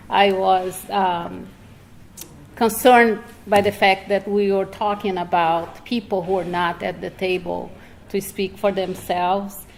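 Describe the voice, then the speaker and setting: A woman from Brazil, speaking in a measured, earnest way as a reflective panelist at an indoor public event. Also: medium pitch. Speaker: panel speaker, state health agency worker. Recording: clearly noisy room ambience.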